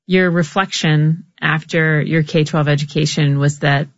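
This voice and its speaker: A woman's voice: deep voice, fairly even intonation, unhurried, highs cut off. A woman hosting an interview podcast, asking curious questions with a self-deprecating humor. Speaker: podcast host.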